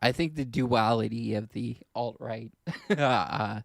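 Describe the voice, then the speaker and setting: A man with a gravelly, creaky voice, recorded close and dry. He talks as a podcast co-host in casual discussion, working through his explanations in a loose, halting way at a middling pitch. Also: no room sound. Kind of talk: casual discussion of films and politics.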